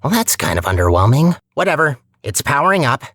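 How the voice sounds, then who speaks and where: An eager, talkative robot sidekick in a video game. The delivery is casual and wry, swinging widely in pitch, recorded close and dry. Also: very animated, a little fast, a bit bright, no echo. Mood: eager, impatient.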